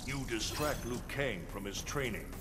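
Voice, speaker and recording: An actor playing a grave, imperious thunder god who speaks formally in a fighting game. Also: male voice, mid-range voice, lively intonation, moderate pace, heavy background noise, close to an everyday voice. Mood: solemn. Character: stern, solemn male thunder god, protector of realms. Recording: loud game music and effects behind.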